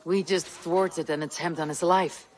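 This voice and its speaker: A woman's voice: on the low side, fairly even intonation, measured pace, close to an everyday voice. An actress playing a tough, blunt young female Viking warrior with a dry, terse delivery in a video game. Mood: dry, probing. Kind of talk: video game cutscene acting.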